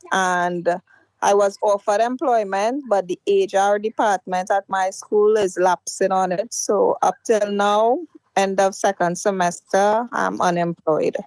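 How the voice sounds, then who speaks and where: A woman's voice: mid-range voice, a little mellow, dry recording, poor audio. A woman from Trinidad, a student calling in with a question about her visa. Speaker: caller, student. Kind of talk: phone-in question.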